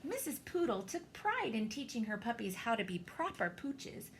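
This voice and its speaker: A woman reading a children's picture book aloud, expressively, with theatrical pauses and deliberate emphasis. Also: fairly high pitch, sing-song, slight background noise. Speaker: storybook reader, teacher.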